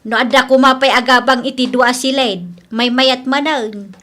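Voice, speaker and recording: A woman's voice: sits high, steady tone, normal speed, fairly bright tone. A woman playing a bossy, grumbling, money-minded older landlady in a radio comedy drama, scolding and nagging emphatically.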